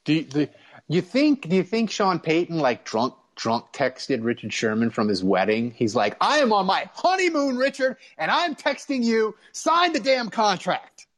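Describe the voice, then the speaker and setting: A man with a slightly high voice hosts a sports podcast. He talks in loose, casual banter, like a chat between fans. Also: sing-song, moderate pace, a bit bright.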